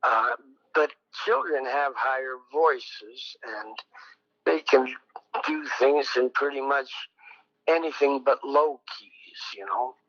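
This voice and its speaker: Older songwriter guest, unhurried and meandering, somewhat high-pitched, dull recording. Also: male voice, fairly bright tone. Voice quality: fairly high voice. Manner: slow, rambling, many ums and pauses. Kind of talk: reflective oral history interview.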